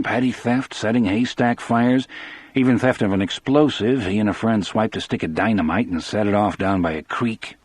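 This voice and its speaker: A man with a husky voice reading scripted first-person audiobook narration. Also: mid-range voice, a little mellow, no echo.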